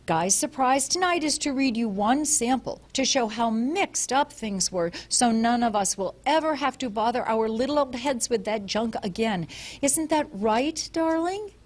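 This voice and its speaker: Brief: a woman playing a jittery wife, brightly forced cheer, high and fairly lively. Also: unhurried. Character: anxious wife keeping up appearances.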